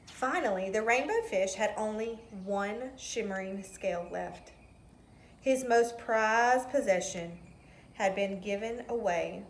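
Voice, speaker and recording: A woman's voice: medium pitch, slow pace. A woman reading a children's story aloud expressively, with simple teacherly asides. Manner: expressive, explains words to children. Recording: roomy echo, some background noise.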